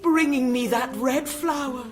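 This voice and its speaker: A woman's voice: sits high, unhurried, faint music behind. An actress playing a seductive, menacing bereaved mother in a gothic radio drama, languid and dreamy. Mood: dreamy, predatory. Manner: languid, dreamy, poetic delivery.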